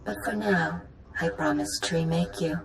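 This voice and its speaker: An ancient machine mother , acted by a woman, speaks with stately maternal pride and quiet menace. The voice is low for a woman, with a creaky grain. It rings in a roomy game-world space over faint rumbling noise. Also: moderate pace, natural voice. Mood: serene, triumphant. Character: ancient godlike machine mother, tender, proud, quietly vengeful. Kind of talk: dramatic video game acting.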